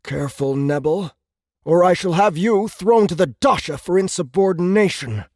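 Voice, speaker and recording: A man's voice: high voice, lively intonation, moderate pace, darkish tone, dry recording, studio quality. An actor playing a haughty, insecure young male alien commander in an audiobook, indignant and officious in manner. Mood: affronted insistence. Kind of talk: audiobook character acting.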